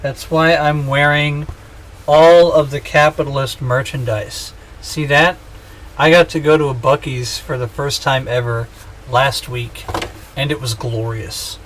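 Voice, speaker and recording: Joking, slow-talking man gaming live. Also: medium pitch, steady tone, a bit bright, slight background noise, rough recording. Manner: joking, rambling, self-mocking. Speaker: streamer. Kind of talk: casual gaming livestream chat.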